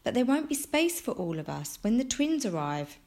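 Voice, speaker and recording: A woman's voice: fairly high pitch, big pitch swings, moderate pace, darkish tone, pitched above the performer's own voice. Woman reading as a firm, serious dad. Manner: emphatic, firm. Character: firm, serious father of a young boy. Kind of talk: children's storybook character voice.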